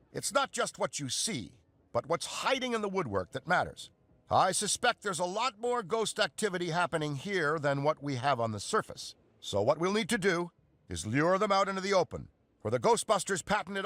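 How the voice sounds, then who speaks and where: A man's voice: fairly high pitch, fairly bright tone, no room sound. An actor playing an eager, folksy male paranormal expert, giving a chatty scripted briefing in a game. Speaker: in-game guide character.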